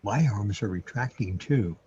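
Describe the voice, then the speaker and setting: A low, dark voice by a male actor plays a dry companion in a radio drama. The delivery is deadpan, and the recording is a little dull. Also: unhurried, a little hiss or hum. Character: dry companion insisting on shared dread. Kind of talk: radio play acting.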